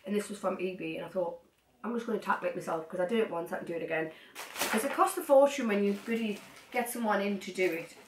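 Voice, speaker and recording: A young woman chats excitedly to camera, hopping from one thing to the next with plenty of asides. She is recorded from across the room, so her voice sounds distant and echoes off the walls, with a faint hiss underneath. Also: mid-range voice, fast talker. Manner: chatty, excitable, rambling asides. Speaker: vlogger. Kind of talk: casual haul chat to camera. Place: cluttered living room, sitting on the floor. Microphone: far camera.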